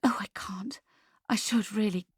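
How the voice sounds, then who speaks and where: Woman acting a flustered, hushed, anxious mother. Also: mid-range voice, dry recording, clean studio sound, pitched above the performer's own voice. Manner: hushed, breathy, halting. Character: anxious, flustered mother and artist, hesitant and disbelieving.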